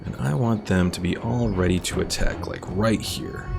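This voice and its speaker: Casting: male game streamer, low gravelly voice, laid-back casual play commentary, thinking aloud. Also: normal speed, over music. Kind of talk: casual gameplay commentary, reading viewer comments.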